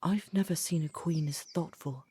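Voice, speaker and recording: A woman playing a courteous, devoted queen consort in a fantasy audio drama, polite and composed, with a husky voice. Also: low voice, moderate pace, warm tone, a little hiss or hum, lightly acted. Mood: fond. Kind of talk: scripted fantasy audio roleplay.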